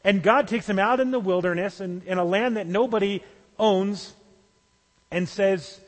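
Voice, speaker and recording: High-voiced male pastor in a reverberant hall. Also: measured pace. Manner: conversational, with small restarts. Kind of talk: sermon.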